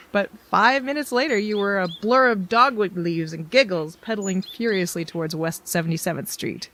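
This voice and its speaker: A woman's voice: mid-range voice, moderate pace, a bit bright, a little hiss or hum, close to an everyday voice. An actress playing a plain-spoken female companion in an audio drama. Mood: tender reminiscence.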